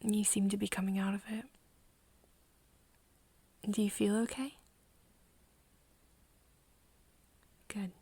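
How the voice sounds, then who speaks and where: A woman playing a caring, flustered young woman in an ASMR roleplay, with a soft, breathy voice and a tender, stammering manner. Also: mid-range voice, level tone, normal speed, no echo. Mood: gentle, sheepish. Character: caring, flustered young woman soothing her vampire friend.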